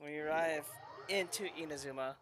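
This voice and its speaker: A man's voice: on the high side, moderate pace, a bit bright. Casual man commentating over a game. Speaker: gamer, host of a playthrough. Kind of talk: casual gameplay commentary, reading choices aloud. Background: faint game music.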